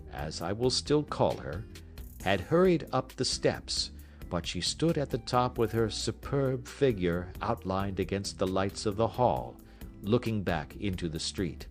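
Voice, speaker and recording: A man narrating a classic literary story as an audiobook, in a measured, expressive storytelling manner. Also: lowish voice.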